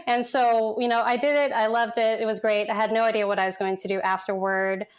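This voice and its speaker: A woman's voice: fairly high pitch, fairly even intonation, normal speed, smooth. A woman in her forties who grew up in San Francisco, guest on a podcast, telling her story in a reflective, chatty way with many "you know" fillers. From San Francisco, United States. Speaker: guest, content design manager. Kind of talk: casual podcast interview.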